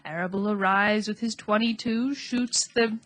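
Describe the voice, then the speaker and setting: A woman's voice: mid-range voice, fairly even intonation, measured pace, a bit bright, lightly acted. A woman voicing an old female sheep, blunt and gloomy, with a grim, matter-of-fact manner that turns snappish.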